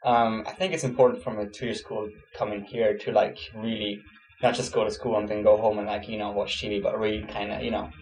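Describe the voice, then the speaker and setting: A young man from Switzerland, a student answering interview questions in a relaxed, casual way with plenty of fillers. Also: lowish voice, a little fast, a little hiss or hum, poor audio. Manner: relaxed, many fillers, laughs easily.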